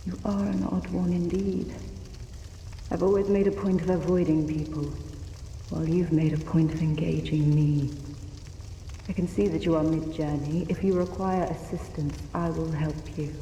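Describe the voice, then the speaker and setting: A woman's voice: low voice, level tone, normal speed, warm tone, reverberant, big-room sound, a little hiss or hum. A woman playing a guarded, aloof wandering knight in a fantasy video game. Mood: solemn.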